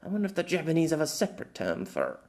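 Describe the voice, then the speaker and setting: A man's voice: a little high, huge pitch swings, fast, gravelly, darkish tone, voice pushed up, exaggerated voice. A man voicing a grumpy, condescending novelist with a pompous, exasperated, sardonic manner. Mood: musing, condescending.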